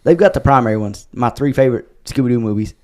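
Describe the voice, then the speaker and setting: A man hosting a relaxed podcast conversation in a middle-pitched voice. His voice is wearing thin from long talking, and he rambles and corrects himself as he goes. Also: fast talker. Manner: rambling, self-correcting, wraps up the show. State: losing his voice from talking a lot. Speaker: podcast host. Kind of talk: casual chat.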